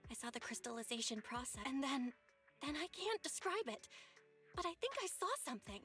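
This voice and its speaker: A caring young heroine in an action game. The voice is high and very lively in pitch, earnest and emotive. Faint music sits behind it. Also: female voice, fairly quick. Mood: dazed, confused. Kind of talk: dramatic game voice acting.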